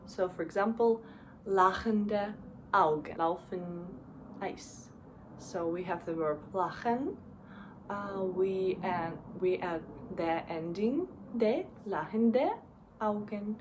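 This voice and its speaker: Unhurried woman. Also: mid-range voice, a little mellow, slight background noise, rough recording. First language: Russian. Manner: unhurried, with fillers and frequent "right?". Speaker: German language teacher. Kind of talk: casual explainer lesson.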